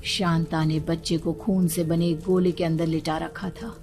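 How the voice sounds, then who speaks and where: Lowish-voiced woman narrating a horror story. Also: little pitch movement, a little slow, a little mellow, soft background music.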